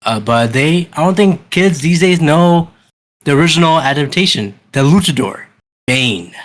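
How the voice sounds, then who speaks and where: A man's voice: fairly high pitch, animated, moderate pace. A man hosting a casual podcast chat, enthusiastic and restarting his sentences often. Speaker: podcast host.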